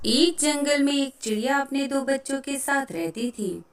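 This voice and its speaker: A woman's voice: high voice, animated, moderate pace. A woman narrating a scripted children's moral story as the storyteller.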